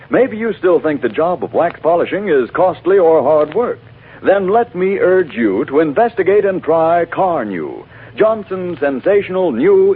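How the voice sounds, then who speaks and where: A man reading a scripted sales pitch in 1940s radio announcer style. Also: slight background noise. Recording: narrow, tinny old radio broadcast.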